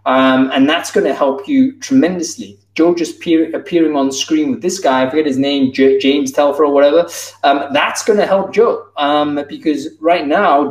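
A man's voice: on the high side, a little mellow. A man in his thirties giving a conference keynote over a video call, talking in a loose conversational way with fillers and restarts. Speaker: keynote speaker. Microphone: video call, wired earbuds. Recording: roomy, distant video-call sound.

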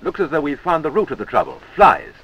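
A man's voice: on the high side, very animated, fast talker, fairly bright tone, breathy edge, rough recording. A British man narrating a wartime-era army training film in a wry, chummy manner, on a 1940s film soundtrack.

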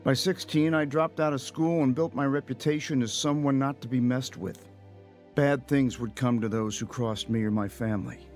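A man acts a grown man narrating his past in an audio drama. His mid-pitched voice is measured and confessional, over faint music. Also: steady tone, a little mellow, natural voice. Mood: reflective. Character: reflective grown man recounting his dark youth. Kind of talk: scripted first-person radio drama narration.

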